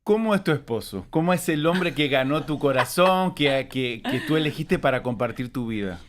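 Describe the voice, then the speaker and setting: A middle-aged man, raised in Argentina, hosts a conversational interview. His voice sits at an average pitch as he puts questions and comments to his guest. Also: expressive, normal speed. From born in Chile, grew up in Argentina. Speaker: host, interviewer.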